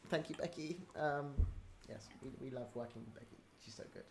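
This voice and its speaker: A man of middle pitch hosts a relaxed livestream question-and-answer session over a video call. He talks loosely, stumbling through ums and restarts as he gushes about the cast. A faint hiss of noise sits under his voice. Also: a little fast. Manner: hesitant, lots of ums and restarts, enthusiastic praise. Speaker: livestream host running a cast Q&A. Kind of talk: casual livestream chat.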